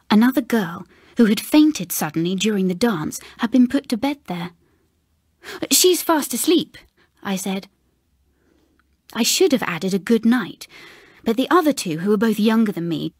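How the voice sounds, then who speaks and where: An English woman narrating a scripted ghost story in a measured, dramatic way with hushed phrases. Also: medium pitch, animated, dry recording, studio quality. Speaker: audiobook narrator.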